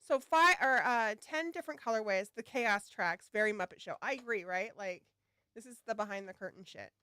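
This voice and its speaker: A woman's voice: mid-range voice, lively intonation, brisk, pushed and bright, clean tone. A woman hosting a casual livestream for her shop, chatty and a little scattered, with a slightly nasal voice from a head cold. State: getting over a head cold. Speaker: yarn shop owner hosting a launch livestream.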